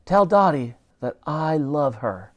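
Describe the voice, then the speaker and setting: A man's voice: fairly high pitch, expressive, takes it slowly. A man voicing a melodramatic old soldier who speaks in an overblown heroic tone. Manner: overdramatic, heroic tone. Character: melodramatic old male army pensioner.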